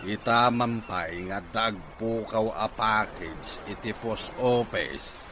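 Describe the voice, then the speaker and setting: A man's voice: fairly low pitch, slow pace. A man acting a questioning middle-aged father in a radio drama, with an Ilocano accent. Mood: doubtful. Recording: clearly noisy ambience behind.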